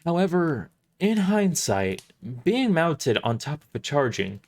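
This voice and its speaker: A man reading first-person dramatic narration for an audio drama, somewhat halting, often restarting his lines. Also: fairly high pitch, very animated, a little mellow.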